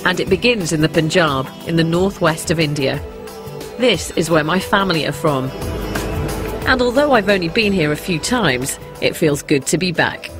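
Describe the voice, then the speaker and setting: A woman's voice: sits low, lively intonation, moderate pace. English woman presenting, music behind. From England. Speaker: documentary presenter. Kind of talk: personal documentary narration and pieces to camera.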